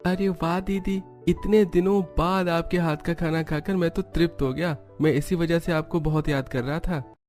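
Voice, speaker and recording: A man's voice: on the high side, fairly even intonation, normal speed, a little mellow, soft background music, natural voice. Affectionate younger brother played by a man. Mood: affectionate, teasing. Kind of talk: Hindi cartoon story dialogue.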